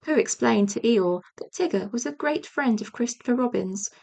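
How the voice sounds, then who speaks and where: High-pitched woman narrating a children's story. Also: expressive, moderate pace, creaky, mellow. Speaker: audiobook narrator.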